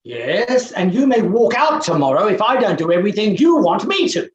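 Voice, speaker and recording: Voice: medium pitch, sing-song, moderate pace, highs cut off, close to an everyday voice. An actor playing an arrogant, overbearing middle-aged bachelor professor in a theatrical play reading, pompous and scornful, with a roomy, echoing sound. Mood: brisk, offhand.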